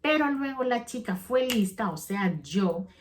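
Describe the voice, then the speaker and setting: A woman's voice: on the high side, expressive, measured pace, a little hiss or hum. A middle-aged woman, chatting casually to the camera for her vlog, rambling and often restarting her sentences as she talks straight to her viewers. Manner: rambling, chatty, self-correcting; addresses viewers directly. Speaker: vlogger.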